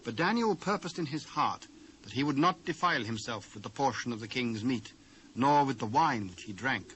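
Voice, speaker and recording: A man with a British accent narrates scripture in a formal, measured way. His pitch is medium, and the old cassette recording carries a light layer of noise. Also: sharp tone. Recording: noisy old cassette transfer.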